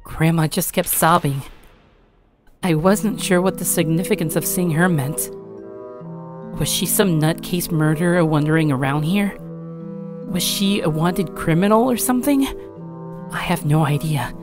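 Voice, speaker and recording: A woman's voice: on the low side, measured pace, pauses often, music in the background, natural voice. A woman playing an anxious young grandchild who earnestly and dramatically narrates a horror tale. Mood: uneasy narration. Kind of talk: first-person scripted horror narration with acted dialogue.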